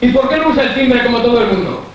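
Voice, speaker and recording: A man's voice: very high voice, lively intonation, fast, lo-fi sound. A man playing an impatient, bold young student in a radio drama, irritated and exclamatory, in an echoey space. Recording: very echoey room, faint noise.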